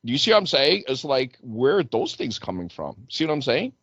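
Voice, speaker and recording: A man's voice: mid-range voice, very animated, fairly quick, fairly bright tone, breathy edge, no room sound, muffled recording. A man hosting a casual talk show, eagerly prompting his guest with questions. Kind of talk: casual conversational interviewing.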